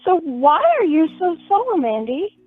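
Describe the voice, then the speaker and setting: A woman's voice: very high-pitched, sing-song, moderate pace. A woman acting an earnest, insistent young fiancée in a radio play, recorded in muffled audio. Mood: puzzled. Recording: muffled lo-fi audio with music behind.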